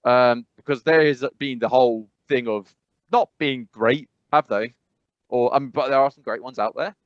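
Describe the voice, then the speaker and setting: A man's voice: mid-range voice, moderate pace, dry recording. Man, casual podcast chat, rambling with fillers, dry close sound, slightly dull. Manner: rambling, many fillers and restarts. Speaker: podcast co-host.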